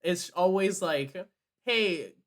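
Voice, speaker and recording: A man's voice: high voice, animated, takes it slowly. A man from Puerto Rico co-hosting a podcast in casual, slangy chat, swearing freely and acting out dialogue. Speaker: podcast co-host.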